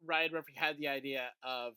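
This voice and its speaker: A podcast co-host with a bright, forward tone, talking through TV episodes in a loose, conversational way. The sound is close and dry, with no room echo. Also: mid-range voice, steady tone, moderate pace, clean tone. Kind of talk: casual TV review chat.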